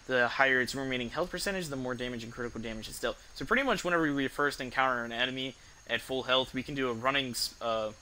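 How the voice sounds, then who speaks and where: Bright, forward-voiced man rambling through gameplay. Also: medium pitch, slight background noise, poor audio. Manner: rambling, halting recap. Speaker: game streamer. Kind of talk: casual gameplay commentary. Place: indoor room at home, streaming setup. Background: game music.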